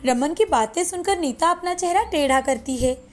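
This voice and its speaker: A woman's voice: very high-pitched, animated, moderate pace, faint music behind. A woman reading a scripted moral story as its narrator, in storybook style.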